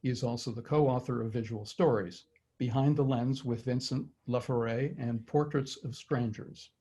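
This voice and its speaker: A man hosting an online forum, reading a guest's introduction in a steady, measured way over a video call. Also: mid-range voice, slightly rough. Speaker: forum host.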